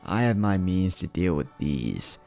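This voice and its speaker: Man playing sadistic story villain, gleeful menace, deep gravelly voice, boastful. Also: big pitch swings, a little slow, a little mellow, faint music behind, heavily acted. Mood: menacing glee. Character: crazed sadistic male knife-wielding killer, gleeful.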